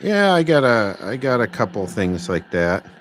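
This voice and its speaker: A man hosting a casual livestream about electronics repair, thinking aloud in a halting, rambling way with dry, wry remarks. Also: lowish voice. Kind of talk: casual unscripted livestream chat, reading and answering viewer requests. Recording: clear steady background noise.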